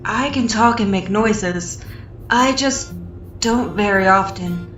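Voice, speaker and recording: A woman's voice: medium pitch, slow, a bit bright, reverberant, far from the mic. A woman voicing a weary but polite young male adventurer in a comic fan dub. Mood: hesitant, mild. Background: music.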